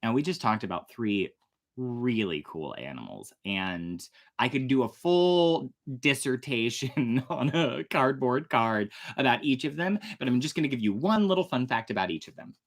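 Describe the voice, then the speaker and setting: An American storyteller with a mid-range voice that rises and falls dramatically. The delivery is expressive and casual, like a host reading tales and chatting with listeners. Phrasing is theatrical yet informal. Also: medium pitch, normal speed. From United States, Oklahoma. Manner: dramatic, expressive when narrating, chatty and self-deprecating in asides. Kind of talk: animated storytime reading with casual fun-fact asides.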